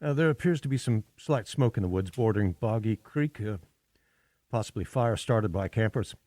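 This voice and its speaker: Low-voiced confident army captain acted by a man. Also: normal speed, mellow, no echo, close to an everyday voice. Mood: breezy, observant. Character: confident, breezy army signal corps captain reporting in the field. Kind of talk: radio play acting, military field report.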